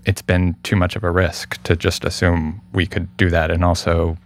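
A man's voice: low voice, flat delivery, moderate pace, no echo. A man as an interview guest, explaining his production work casually and thoughtfully, often repeating words as he goes.

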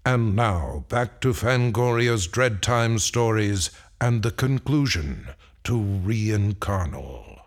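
Very slow, low horror announcer. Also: male voice, animated. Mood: ominous. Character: ominous male horror anthology announcer. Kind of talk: scripted horror radio announcing.

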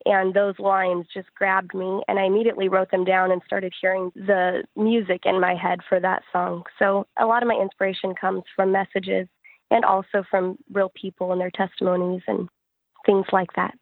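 Even-toned woman giving interview answers. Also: medium pitch, normal speed, no echo, phone-quality sound. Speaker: interviewed singer-songwriter.

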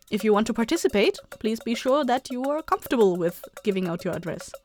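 A woman hosts a podcast with a fairly high, enthusiastic voice. She addresses listeners casually and chattily, over soft music. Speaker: podcast creator and host. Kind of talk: casual outro announcement to listeners.

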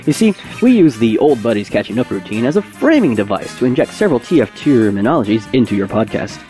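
A man plays a formal presenter in a podcast comedy skit. His voice is soft-edged and mid-pitched, delivering scripted lines with music underneath. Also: big pitch swings, fast, natural voice. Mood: formal, presenterly.

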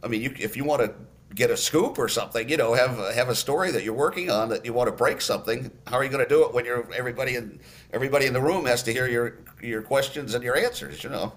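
An older man, a radio announcer, telling stories in a relaxed interview with lots of fillers and restarts. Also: mid-range voice, fast talker. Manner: storytelling, many fillers and restarts.

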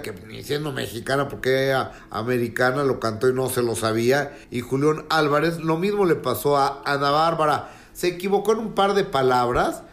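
Male entertainment reporter, medium pitch. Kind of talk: conversational news report. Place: reporting remotely from Mexico City.

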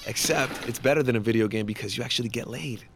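An actor playing a brash, foul-mouthed war-veteran coworker, blunt, pushy and joking, in a naturalistic audio drama. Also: male voice, mid-range voice, fast, background noise, lightly acted. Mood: pushy, teasing.

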